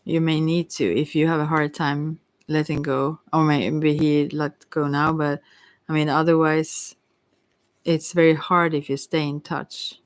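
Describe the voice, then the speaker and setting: A person giving a casual ASMR tarot reading, rambling and musing in a gentle, reassuring and hesitant way. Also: mid-range voice, no room sound. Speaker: tarot card reader.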